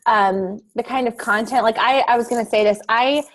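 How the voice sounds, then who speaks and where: A woman's voice: on the high side, normal speed, fairly bright tone. A woman podcaster rambling informally on a panel with many fillers. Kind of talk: casual panel discussion.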